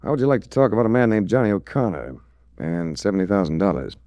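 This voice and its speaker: An actor playing a suave, wry hard-boiled investigator in a 1940s radio drama, with a dry, urbane delivery. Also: male voice, on the low side, sing-song, fast, mellow, no room sound. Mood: dry, flirtatious. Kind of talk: 1940s radio detective acting and narration. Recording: restored 1940s radio recording.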